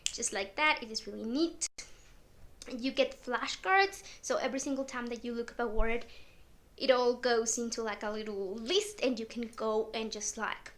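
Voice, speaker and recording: Young woman book vlogger, English not her first language, higher voice, loose chatty delivery full of fillers. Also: normal speed. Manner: chatty, many fillers and little laughs. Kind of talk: casual chat.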